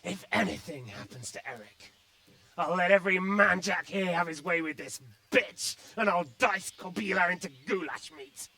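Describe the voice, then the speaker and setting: An actor playing a menacing, foul-mouthed medieval villain lord in a video game, sneering and threatening. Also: male voice, sits high, lively intonation, moderate pace, bright, forceful tone, exaggerated voice. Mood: furious threatening. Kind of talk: dramatic video game acting.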